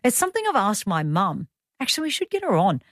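A woman's voice: medium pitch, wildly swooping pitch, fast talker, fairly bright tone, no echo, studio quality. An Australian woman in her thirties hosting a talk radio show, chatting through the rundown with personal asides. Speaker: radio host. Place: radio studio. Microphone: studio microphone.

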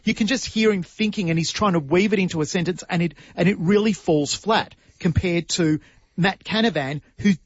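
A man co-hosting talk radio, giving steady, opinionated commentary. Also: sits high, moderate pace, dry recording, highs cut off. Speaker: radio co-host.

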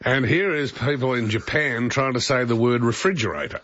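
A man's voice: medium pitch, normal speed, a bit bright. Man, radio host, chatty, slightly dull sound. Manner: chatty, with fillers. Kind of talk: casual radio talk.

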